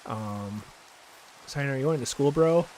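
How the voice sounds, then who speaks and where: Young canadian guy on a chill game stream, rambly, lots of likes and ums, reads chat out loud, normal mid voice. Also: medium pitch, a little slow, a little hiss or hum, rough recording. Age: young adult. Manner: rambling, filler-heavy storytelling, reads chat aloud. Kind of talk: casual live-stream chat while gaming.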